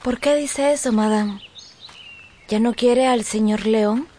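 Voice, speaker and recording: High-voiced, anxious housemaid. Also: female voice, takes it slowly, dark tone, a little hiss or hum. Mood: concerned. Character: deferential, anxious young housemaid to a married lady. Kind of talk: radio drama acting.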